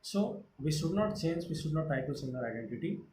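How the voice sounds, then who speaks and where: A man with an Indian English accent, an English teacher explaining a textbook chapter in an earnest, repetitive way, often pausing to hesitate. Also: on the high side, expressive, darkish tone. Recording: echoey room with background noise.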